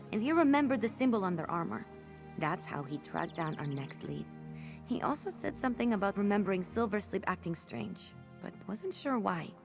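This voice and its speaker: A woman's voice: medium pitch, creaky. A woman voicing a spirited, loyal and cheeky young outlaw companion in a video game, with a casual, wry delivery.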